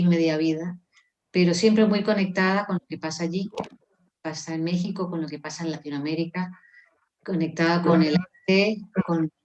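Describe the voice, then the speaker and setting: A woman's voice: deep voice, low-quality recording. A woman around sixty who grew up in Mexico, a musician and poet speaking as a guest over a video call, thoughtful and earnest with frequent hesitant restarts. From Mexico (grew up in Puebla, born in Colombia). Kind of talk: reflective conversational interview.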